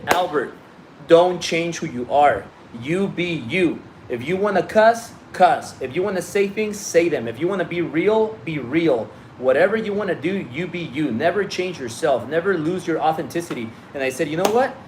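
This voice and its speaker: A man's voice: on the high side, slight background noise, poor audio. A man from Mexico whose first language is Spanish, speaking with a Mexican Spanish accent in casual, blunt livestream talk as a business coach, swearing and repeating himself freely. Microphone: phone on a live stream.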